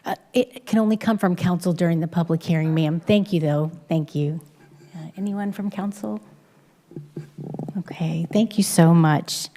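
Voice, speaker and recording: A woman's voice: on the low side, moderate pace, mellow. A woman chairing a city council hearing who calls speakers up in a friendly, informal way and sometimes stumbles over her words. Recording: reverberant council chamber.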